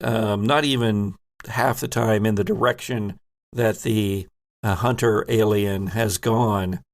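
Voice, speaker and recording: Gravelly man narrating as game master. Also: fairly low pitch. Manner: suspenseful, second-person scene-setting. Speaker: game master and host of a tabletop horror RPG podcast.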